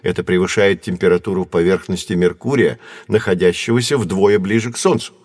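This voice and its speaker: Low-voiced, patient astronomer guide. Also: male voice, fairly even intonation, darkish tone, no room sound, clean studio sound. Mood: explaining. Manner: measured, didactic, clearly enunciated. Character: patient, learned male astronomer guiding children. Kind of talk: scripted educational audio drama.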